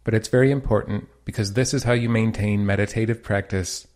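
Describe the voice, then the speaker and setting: A man leading a guided meditation as a teacher, with a measured, soothing, instructional delivery. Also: lowish voice, fairly even intonation, darkish tone.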